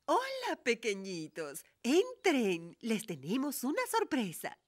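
A woman's voice: fairly high pitch, huge pitch swings, slow pace, exaggerated voice. A woman playing a sweet, cheerful old grandmother pig in a children's cartoon.

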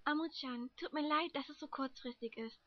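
A young woman in an amateur anime fandub voicing a gentle, secretive long-haired youth with composed delivery. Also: high-pitched, moderate pace, no room sound, lightly acted. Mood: polite. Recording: muffled, dry home microphone.

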